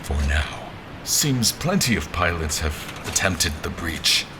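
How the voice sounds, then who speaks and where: A man playing a seasoned general who narrates his sea adventure in a measured, literary, dramatic style for an audio drama. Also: low-pitched, sing-song, background noise, exaggerated voice. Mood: measured suspense. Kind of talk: dramatic first-person scripted narration. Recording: music under the voice.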